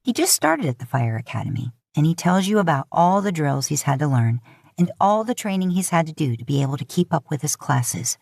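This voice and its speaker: A woman's voice: sits low, sing-song, a little fast, gravelly, a little mellow, dry recording, clean studio sound. A woman reading scripted second-person romantic fiction as a podfic narrator.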